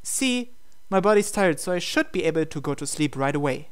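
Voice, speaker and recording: A man's voice: fairly high pitch, animated, fairly quick, clear, resonant tone. A man reading a visual novel aloud as a gamer, breaking into casual, incredulous asides. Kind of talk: game playthrough narration with casual asides.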